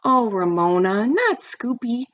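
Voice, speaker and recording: A woman's voice: fairly high pitch, big pitch swings, slow pace, dry recording. Lady doing a fed-up nine year old big sister from a kids book, pleading, bit lo-fi. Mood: weary pleading. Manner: pleading, put-upon.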